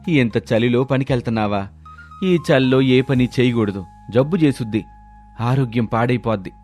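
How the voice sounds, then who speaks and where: A man's voice: medium pitch, normal speed, darkish tone, soft background music, lightly acted. A man playing a lazy village husband who complains and wheedles his way out of things in a storybook tale. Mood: making excuses. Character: lazy, whining village husband full of excuses. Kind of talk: storybook character voice.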